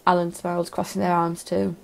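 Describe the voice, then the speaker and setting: A woman's voice: lowish voice, little pitch movement, a little fast, creaky, slight background noise. A woman narrating a scripted first-person short story.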